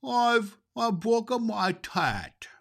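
A man's voice: high-pitched, sing-song, slow pace, gravelly, fairly bright tone, voice pushed up, full character voice. A man voicing an emotional little girl in a storybook, with babyish, stammering speech and muddled words. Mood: tearful, stammering. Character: sad little girl, tearful, lisping, easily delighted.

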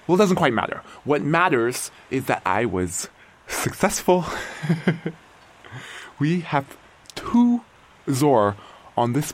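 A man playing an aging artificial intelligence, a doting creator-parent musing with theatrical wonder in an audio drama monologue. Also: mid-range voice. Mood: proud, halting. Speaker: narrator and lead character. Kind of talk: dramatic first-person audio fiction monologue.

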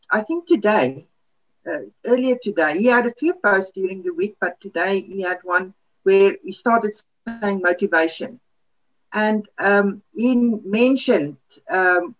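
A woman's voice: mid-range voice, a little slow. Woman with a lo-fi sound. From South Africa. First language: Afrikaans. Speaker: podcast panelist. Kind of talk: casual chat.

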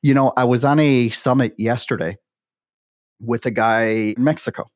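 A man's voice: mid-range voice, moderate pace, a little mellow, dry recording, studio quality. A man in his forties hosting an interview podcast, talking in a chatty, conversational way and leaning on "you know" and "right.". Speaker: podcast host.

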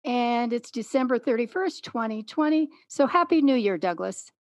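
A middle-aged woman hosting an interview, speaking in a clear, measured way. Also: on the high side, lively intonation, no room sound. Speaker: podcast host and interviewer.

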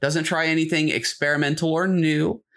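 A man's voice: on the high side, fairly even intonation, moderate pace, a bit bright. A man co-hosting a podcast, chatting casually and giving opinions in an informal way. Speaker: podcast co-host. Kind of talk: casual discussion chat.

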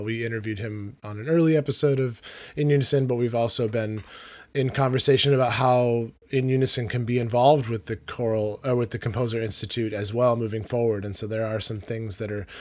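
A man's voice: mid-range voice, steady tone, brisk, a little mellow, no room sound. A man hosting a podcast, asking a guest conversational follow-up questions. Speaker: podcast host. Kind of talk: podcast interview.

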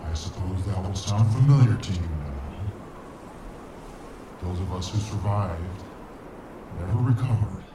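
Slow, menacing interdimensional monster. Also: male voice, low-pitched. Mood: mournful, brooding. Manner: slow, menacing, grandiose, formal diction. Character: vengeful, grieving ancient male interdimensional monster of vast power. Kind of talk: sci-fi audio drama acting. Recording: noisy, echoing, distant-sounding.

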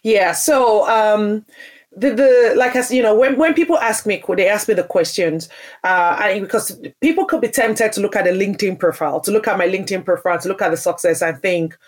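A woman's voice: mid-range voice, a little fast. A woman from Nigeria answering interview questions, speaking earnestly and emphatically about her career, often restarting her phrases. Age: adult, parent. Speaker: podcast guest, business founder. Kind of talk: interview answer, personal story.

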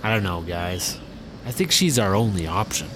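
A man's voice: low-pitched, sing-song, normal speed. Nervous earnest guy, actor, hesitant, voice jumps around a lot, noisy audio drama. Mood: uncertain. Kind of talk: sci-fi comedy audio drama acting.

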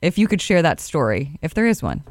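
A woman's voice: deep voice, big pitch swings, fairly quick, no echo, studio quality. A woman co-hosting a podcast, making teasing jokes and asking the guest questions. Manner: playful teasing remarks. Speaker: podcast co-host. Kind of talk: casual interview.

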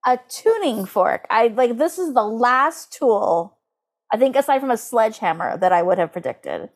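A woman's voice: on the high side, big pitch swings. Chatty woman podcaster peppering talk with "like". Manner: halting, filler-heavy, lots of "like". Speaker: podcast co-host. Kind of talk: casual chat, animated recap.

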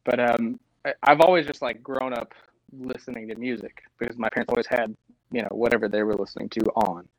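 A man's voice: medium pitch, brisk, vocal fry, no echo, poor audio. Laughing, chatty man from Tennessee. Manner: animated, laughs often, lots of "like". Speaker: lead singer, guest. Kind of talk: casual storytelling interview.

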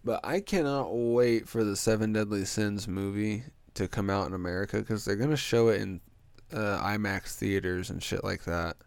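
A man's voice: fairly low pitch, normal speed, creaky, clear, resonant tone, no echo. A young man hosting a solo podcast, congested and stuffy-nosed, joking crudely and drifting off on tangents. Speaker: podcast host. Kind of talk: rambling solo podcast chat.

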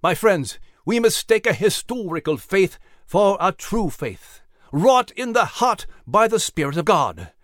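A woman's voice: mid-range voice, big pitch swings, measured pace, dry recording, studio quality. A woman playing a fervent male revival preacher in a historical story, with a solemn, imploring, oratorical delivery. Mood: earnest, admonishing.

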